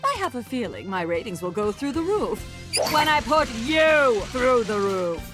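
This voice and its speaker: A woman voicing a vain, haughty insectoid queen bee villainess in an animated video game, with a showy delivery. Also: on the high side, moderate pace, music in the background. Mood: haughty, menacing.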